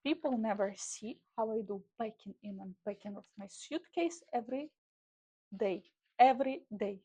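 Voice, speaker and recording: A woman's voice: on the high side, normal speed, warm tone, husky, poor audio. Chatty Ukrainian-accented young woman. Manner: chatty, loose non-native English with frequent fillers. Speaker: traveling model. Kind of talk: casual vlog monologue.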